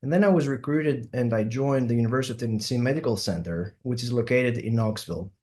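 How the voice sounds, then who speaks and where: A middle-aged man from Greece with a Greek accent, a surgeon giving an online symposium talk and often pausing with ums and uhs. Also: mid-range voice, a little mellow. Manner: hesitant, many ums and uhs. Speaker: plastic surgeon presenting.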